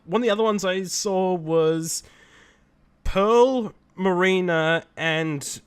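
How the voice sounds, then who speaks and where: A young man co-hosting a casual chat show, telling animated stories and laughing often. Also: high voice, slow, clean tone. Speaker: podcast co-host.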